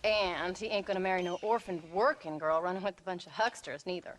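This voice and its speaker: An actress playing a tough but loving rancher's wife in a video game, blunt and teasing, with a rural American Western accent. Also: female voice, lowish voice, brisk, slight background noise, lightly acted. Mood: playful. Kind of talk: video game cutscene acting.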